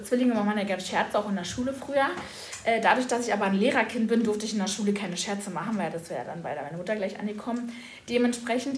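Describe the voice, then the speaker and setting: A woman in her thirties with a slightly hoarse voice, chatting casually and telling lively stories to schoolchildren. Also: fairly high pitch, roomy sound, a little hiss or hum. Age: 30s. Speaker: school first-aid staff answering pupils' questions.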